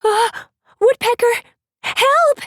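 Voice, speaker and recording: A woman's voice: high-pitched, lively intonation, moderate pace, no echo, clean studio sound, voice pushed up. A woman voicing a nervous teenage girl made of wood, frantic and shrieking as she panics. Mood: panicked. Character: panicky wooden teen girl, high and shrill.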